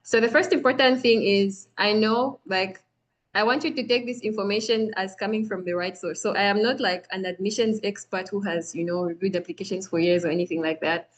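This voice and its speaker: A woman's voice: medium pitch, fairly quick, poor audio. A young woman from Zambia hosting an online application workshop, friendly and chatty with frequent fillers, over a video call. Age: young adult. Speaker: workshop host. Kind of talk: online presentation.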